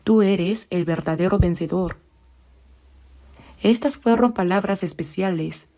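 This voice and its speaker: A woman delivers a sermon in a warm, mellow voice. She speaks at a measured pace and draws out the scripture passages slowly. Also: fairly low pitch. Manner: measured, slows down for scripture readings. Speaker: preacher. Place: church.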